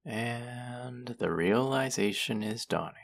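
A man's voice: medium pitch, takes it slowly, vocal fry. A man acting a condescending, mocking young twin brother in a roleplay audio drama, with a teasing, sarcastic drawl. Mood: mocking, scornful.

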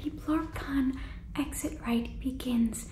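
A young woman playing a naive, earnest alien who speaks haltingly and awkwardly in a soft-spoken ASMR sitcom. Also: high-pitched, fairly even intonation. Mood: earnest, formal. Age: young adult. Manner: stilted, halting, clipped grammar. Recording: roomy echo, background noise.

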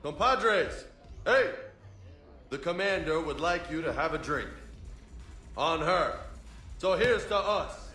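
A man's voice: a little high, expressive, slow pace, pauses often, sharp tone, exaggerated voice. A man playing a friendly, swaggering young marine lieutenant in a video game, teasing and informal. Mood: rousing. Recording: roomy sound.

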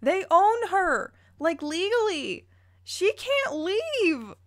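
A woman's voice: extremely high pitch, very animated, drawn-out words. A woman reading a story aloud dramatically, breaking in with playful, incredulous commentary. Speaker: YouTuber reading fan fiction aloud.

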